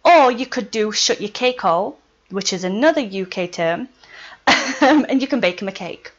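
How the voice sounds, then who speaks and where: A woman's voice: mid-range voice, animated, normal speed. A woman from Yorkshire with a Yorkshire accent, explaining a spell in a casual how-to vlog, chatty and often laughing between points. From Yorkshire, England. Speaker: witchcraft vlogger. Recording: slightly dull lo-fi sound.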